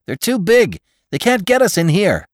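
A man's voice: mid-range voice, very animated, normal speed, dry recording, clean studio sound, voice pushed up, close to an everyday voice. A man voicing a big, burly, joking adventurer with a boisterous, bantering manner in a dramatised story. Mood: alarmed bellowing. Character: big burly Irishman with a gun, joking, blustering in danger. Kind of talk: dramatised audiobook character voice.

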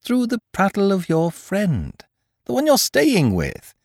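A man reading aloud as a cocky, playful gentleman thief who teases and mocks in a theatrical way. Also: wildly swooping pitch, unhurried, creaky, no room sound, studio quality, heavily acted. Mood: mocking. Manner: teasing, theatrical swings in pitch. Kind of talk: audiobook character reading.

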